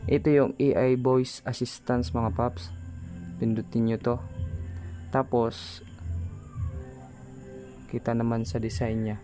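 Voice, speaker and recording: A man's voice: mid-range voice, little pitch movement, slow, long pauses, mellow, faint music behind. A Filipino man giving a casual hands-on product tutorial, talking informally to viewers like buddies. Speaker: vlogger presenting a product tutorial.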